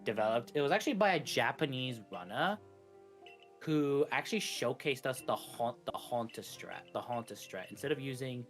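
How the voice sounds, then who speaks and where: A man's voice: mid-range voice, animated, moderate pace, a bit bright. A man giving casual co-commentary on a video game speedrun, often circling back to repeat and correct his phrases. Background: video game music.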